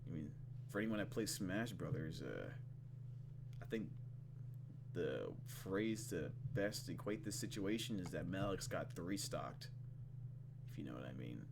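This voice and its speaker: A man's voice: deep voice, very animated, a little slow, vocal fry, long pauses, noisy background. A man hosting a let's play, casually and expressively commenting and swearing as he reads visual novel text aloud.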